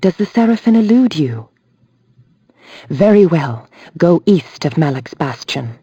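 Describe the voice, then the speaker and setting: A woman's voice: low-pitched, very animated, unhurried, dark tone, husky, no room sound, dull audio, heavily acted. An actress playing an aloof, reproachful female spirit guide in a game, stately and cold, in archaic speech. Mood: cool reproach.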